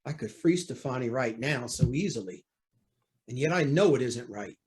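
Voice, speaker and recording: A man's voice: medium pitch, animated, moderate pace, slight background noise, close to an everyday voice. A man playing a troubled, guilt-ridden judge, abrupt and defensive, in old-time radio drama style. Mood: troubled, curt. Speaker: actor in a radio play.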